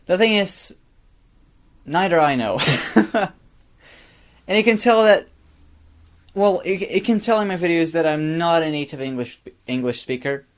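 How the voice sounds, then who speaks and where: A man's voice: a little high, expressive, unhurried, pauses often, smooth. A sixteen-year-old boy from Brazil with a light Brazilian Portuguese accent, a native Portuguese speaker talking off the cuff to his phone camera, sounding tired and rambling with many restarts. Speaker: vlogger. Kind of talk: off-the-cuff personal talk to camera.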